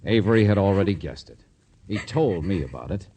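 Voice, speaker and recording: An actor playing a brisk, hard-boiled private investigator in a vintage radio drama, blunt and probing as he questions people. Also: low voice, expressive, mellow, slight background noise. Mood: accusing, pressing.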